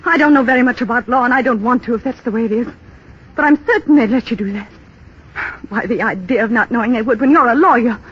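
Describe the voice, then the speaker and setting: Quick-talking, defiant 1930s radio wife. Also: female voice, fairly high pitch, husky. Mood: outraged. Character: proud, naive, defiant young wife. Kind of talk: 1930s radio drama acting. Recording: muffled 1930s radio broadcast.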